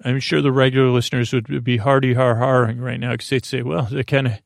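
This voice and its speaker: A man hosting a sleep podcast, delivering a whimsical, rambling monologue in a halting, repetitive, meandering way with a creaky voice. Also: medium pitch, level tone, no room sound, clean studio sound. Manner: meandering, halting and repetitive, drifting between tangents. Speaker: host of a bedtime sleep podcast.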